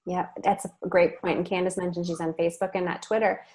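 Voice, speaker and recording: A woman's voice: lowish voice, level tone, fast, a little mellow. A woman hosting a webcast over a video call, chatty and informal, with frequent ums and self-corrections. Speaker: webcast host. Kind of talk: casual group discussion.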